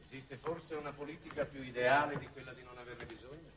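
An actor playing an idealistic, wordy head of a space commission in a 1960s Italian television drama, oratorical and halting. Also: male voice, medium pitch, steady tone, crowd noise, natural voice. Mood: calm, persuasive. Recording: noisy old television soundtrack.

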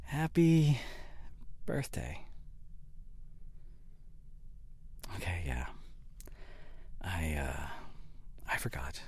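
A young man playing an anxious, regretful boyfriend in an intimate audio roleplay, speaking haltingly with sighs and whispered words. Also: deep voice, huge pitch swings, darkish tone, husky, heavily acted. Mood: apologetic. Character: regretful, anxious boyfriend tenderly consoling his girlfriend.

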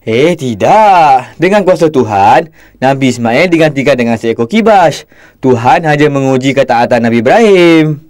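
A man's voice: mid-range voice, expressive, moderate pace, a little mellow, no echo, studio quality, lightly acted. An actor playing an opinionated middle-aged father in a cartoon, grumbling and lecturing with a Singaporean Malay accent. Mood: explaining. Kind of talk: cartoon voice acting.

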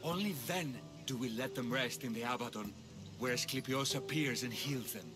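An actor playing a cheeky, excitable priest of an ancient Greek bathhouse in a video game. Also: male voice, mid-range voice, normal speed, over music, lightly acted. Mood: explanatory, earnest. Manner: sarcastic, excitable, theatrical. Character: cheeky, panicky male sanctuary bathhouse priest in ancient Greece. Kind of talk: video game character acting.

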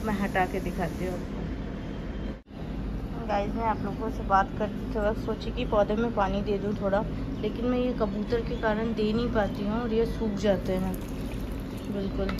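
A young woman talks chattily to her viewers from her home balcony, calling them "guys" as she walks them through things. Her voice sits at an everyday middle pitch. She is recorded on a phone, with clear outdoor noise behind her. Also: steady tone, normal speed. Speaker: family vlogger. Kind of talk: casual vlog narration.

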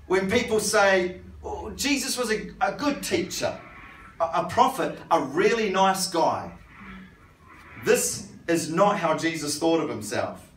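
A man in his forties preaching an animated sermon to a congregation in a church hall, speaking into a handheld mic. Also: sits high. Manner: animated, gesturing, rhetorical questions. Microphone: handheld mic, filmed from the audience. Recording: roomy hall, distant phone, some noise.

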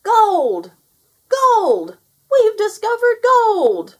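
A woman voicing a cold, weary bearded male gnome who shouts and exclaims in a children's story. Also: high-pitched, lively intonation, moderate pace, voice pushed up. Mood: triumphant shouting.

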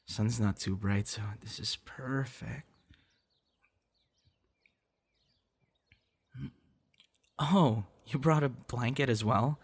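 Slow-talking, affectionate young boyfriend played by a man. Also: on the low side, breathy edge. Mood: relaxed. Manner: teasing, encouraging, halting with soft laughs and gasps. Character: playful, affectionate young male voice actor on a date. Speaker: voice actor in audio roleplay. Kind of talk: ASMR boyfriend roleplay.

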